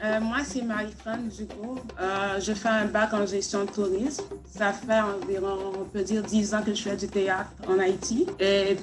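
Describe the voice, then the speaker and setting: A woman's voice: mid-range voice, flat delivery, normal speed, few pauses. A woman from Haiti introducing herself steadily at an audition. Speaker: tourism student auditioning. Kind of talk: self-introduction at a casting session.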